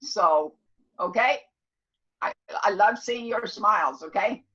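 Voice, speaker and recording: An older woman, about seventy, teaches in a bright, forceful voice. She talks casually and emphatically, repeating points to be sure she is followed. The audio is dull and lo-fi. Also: medium pitch, lively intonation, takes it slowly. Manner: emphatic, repeats herself and checks listeners understand; loud, clear talker. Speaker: instructor. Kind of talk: casual motivational class talk.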